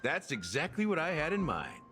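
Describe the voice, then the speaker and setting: A wry middle-aged bartender from a game. He talks casually, like an old hand behind the bar, with animated pitch over soft music. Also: normal speed. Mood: affable, explaining. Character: easygoing, world-weary middle-aged male bartender. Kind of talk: video game character dialogue.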